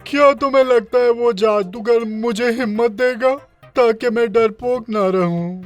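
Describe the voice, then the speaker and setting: A man's voice: very high voice, faint music behind. A man voicing a timid, anxious, weepy lion in a children's cartoon story. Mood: fearful, pleading.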